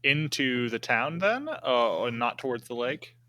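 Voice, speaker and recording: Male tabletop player, mid-pitched, bright, dry sound, hesitant casual table talk. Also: very animated, a little slow, dry recording. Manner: hesitant, thinking aloud. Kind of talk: casual game-table chat.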